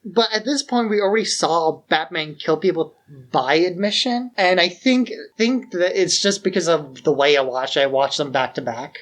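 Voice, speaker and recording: A man's voice: a little high, moderate pace, hardly any pauses, a bit bright. A man co-hosting a podcast in a casual film discussion, informal and given to acting out paraphrased dialogue. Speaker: podcast co-host.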